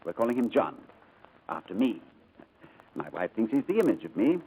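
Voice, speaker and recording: An actor playing a gentle, excitable new father in 1940s radio style, eager and prone to stammering. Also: on the low side, normal speed, breathy edge, exaggerated voice. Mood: proud, eager. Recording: noisy old radio recording.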